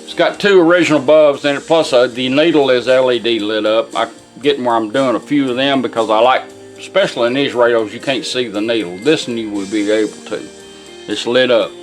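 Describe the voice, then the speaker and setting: A man's voice: medium pitch, a little fast, soft background music. A man giving an informal hands-on product demonstration in a folksy, matter-of-fact way as a restorer.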